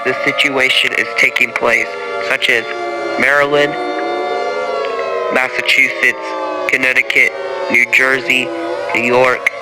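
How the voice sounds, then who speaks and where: A man playing an official emergency announcer, reading a disaster alert in a monotone, halting way. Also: mid-range voice, over loud music, natural voice, rough recording. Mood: serious, official.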